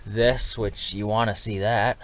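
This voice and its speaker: Low-voiced man narrating a hands-on build. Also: level tone, unhurried. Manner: plainspoken, informal, addressing viewers as guys. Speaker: DIY truck restorer hosting his build videos. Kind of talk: casual hands-on how-to narration. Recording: dull lo-fi camera audio, light noise.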